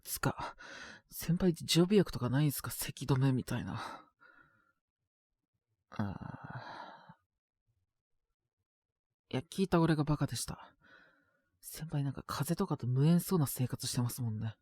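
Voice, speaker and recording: A man's voice: medium pitch, animated, unhurried, pauses often, a little mellow, husky, no room sound, studio quality. An actor playing a sick young man with a cough, grumbling politely to his senior in an intimate Japanese voice drama. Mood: weary, teasing. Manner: casual junior speech, mumbled reluctant replies, sighing. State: ill with a cold, frequent coughing fits. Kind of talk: Japanese boys' love situation voice drama, intimate one-sided dialogue.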